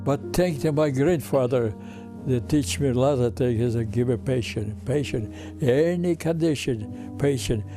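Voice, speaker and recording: An elderly man in his nineties recounts his memories with a Ukrainian accent. His English is broken and halting, and he often repeats words. His pitch is medium, with music behind him. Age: over 90. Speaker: interviewee, Holocaust survivor. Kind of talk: personal recollection. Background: instrumental music.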